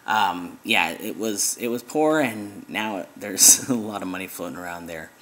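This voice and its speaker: A man's voice: deep voice, unhurried, a bit bright. An American man who grew up abroad, talking casually in a rambling way with many fillers and restarts, as host of his own video. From United States. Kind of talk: casual vlog talk.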